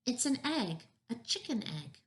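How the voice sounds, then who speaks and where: A woman's voice: fairly high pitch, sing-song, a little slow, a bit bright, pitched above the performer's own voice. A woman voicing a know-it-all female frog in a children's storybook, speaking with assertive, matter-of-fact certainty. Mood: smug certainty.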